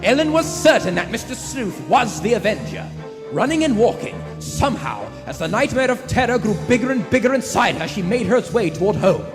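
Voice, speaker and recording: A man's voice: sits high, sing-song, a little fast. A man playing the dramatic narrator of a suspense radio play, theatrical in manner. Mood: urgent. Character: dramatic storyteller of a suspense thriller. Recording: live theater hall with music.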